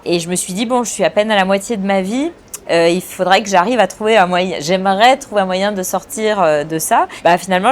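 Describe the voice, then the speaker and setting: A woman in her forties speaks at a middle pitch, thinking aloud as she answers. She pauses often, restarts her phrases and fills gaps while she searches for words. A faint hum of ambience sits behind her. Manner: thoughtful, hesitant, frequent restarts and fillers. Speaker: film director. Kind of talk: interview answers.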